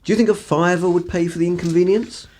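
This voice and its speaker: An actor playing a condescending, upper-class English spy in a radio play, with a dramatic, old-fashioned gentlemanly manner. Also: male voice, sits high, fairly even intonation, fast. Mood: smooth, condescending. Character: flustered, condescending upper-class male spy, drunk and desperate.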